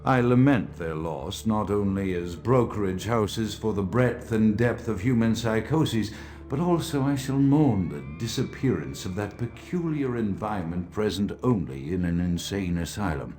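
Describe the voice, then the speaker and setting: A man's voice: lowish voice, animated, moderate pace, darkish tone, lightly acted. An actor playing an erudite, morbid vampire alienist in a video game, dictating his journal in florid, theatrical language. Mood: morbidly rapturous. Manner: pompous, florid, theatrical diction. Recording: music behind the voice.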